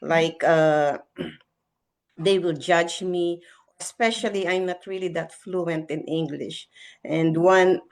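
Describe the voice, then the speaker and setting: Slow, lower-voiced Filipino-accented woman. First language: Filipino (Tagalog). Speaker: interviewer. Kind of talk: casual chat, personal anecdotes.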